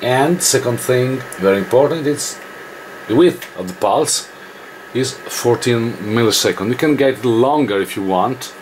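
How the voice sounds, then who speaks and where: A man who is not a native English speaker gives an informal hands-on technical tutorial, haltingly thinking aloud as he works. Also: medium pitch, lively intonation, a little hiss or hum, poor audio. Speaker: electronics demonstrator.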